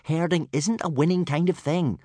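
A man voicing a patient, anxious young Viking boy, gentle and earnest, in an audiobook story. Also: on the high side, normal speed, dry recording, voice pushed up, close to an everyday voice. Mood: soothing, patient.

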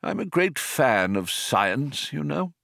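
A man voicing a kindly, absent-minded old man in an audiobook, speaking in a solemn, polite, unhurried and slightly wistful manner. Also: mid-range voice, sing-song, vocal fry, dry recording, clean studio sound, voice pushed up, exaggerated voice. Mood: solemn, musing. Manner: vague, unhurried, wistful, gently formal. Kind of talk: audiobook character voice.

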